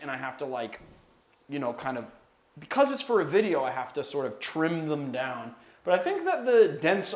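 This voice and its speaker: A man with a loud, resonant voice hosting a cocktail livestream, chatting casually and answering viewer questions. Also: medium pitch. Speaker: cocktail channel host.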